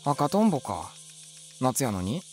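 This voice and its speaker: A clingy, artless teenage boy from a horror voice comic. His Mie dialect is casual and youthful at a medium pitch, with soft music underneath. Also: big pitch swings, a little slow. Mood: casual, curious. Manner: casual, boyish, guileless. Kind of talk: voice comic acting. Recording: faint music and insects behind.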